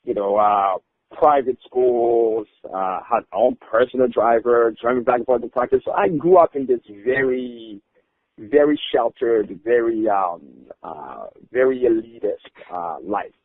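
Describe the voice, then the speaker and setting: A man's voice: mid-range voice, lively intonation, unhurried, no room sound. A man from Rwanda, a podcast guest telling his life story with many hesitant fillers and restarts. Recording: tinny phone-like line.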